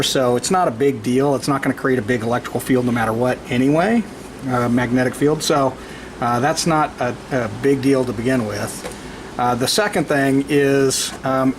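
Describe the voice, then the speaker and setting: Man explaining DIY work over background noise. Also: mid-range voice. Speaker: DIY vlogger. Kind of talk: hands-on installation walkthrough, explaining as they work. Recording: clearly noisy location recording with ambient sound.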